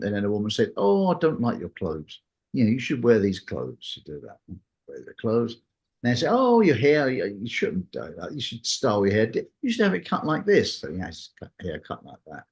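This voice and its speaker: A man in casual conversation, talking in a rambling, anecdotal way and acting out bits of reported dialogue. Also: medium pitch.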